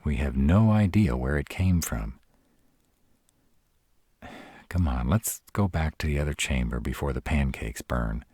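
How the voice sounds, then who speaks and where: Man voicing a male were-dragon, very low pitch, unhurried pace, sarcastic yet caring, protective, fantasy roleplay audio. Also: lively intonation, dark tone, husky, no room sound, studio quality, full character voice. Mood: wary, protective, then casual, caring. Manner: tsundere, teasing yet caring, hesitant pauses. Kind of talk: romantic fantasy audio roleplay, speaking to the listener.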